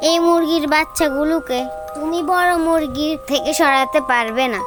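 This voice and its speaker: A woman voicing a firm, practical mother in a kids' cartoon, explaining and gently scolding. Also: sits high, normal speed, close to an everyday voice. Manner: admonishing, explanatory. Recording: music behind the voice.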